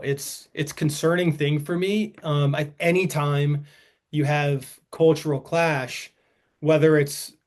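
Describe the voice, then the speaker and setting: A man co-hosting a podcast, talking politics in a halting way, often restarting his sentences. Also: fairly high pitch. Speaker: podcast co-host. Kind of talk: casual political discussion.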